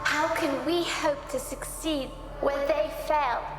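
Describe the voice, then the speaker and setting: A woman's voice: high-pitched, fairly even intonation, a little slow. A woman playing an earnest, questioning young sister in a video game, speaking in a solemn, formal, wondering way. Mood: worried, solemn. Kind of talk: video game cutscene acting. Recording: echoing voice under loud music.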